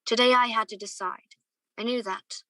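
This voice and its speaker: Bright-voiced woman as a wistful young gentleman. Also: on the high side, fairly even intonation, moderate pace, no echo, clean studio sound. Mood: troubled, pensive. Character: conflicted young gentleman in love, wistful narrator. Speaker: student or guest actor in a radio play. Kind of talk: radio play acting with first-person narration.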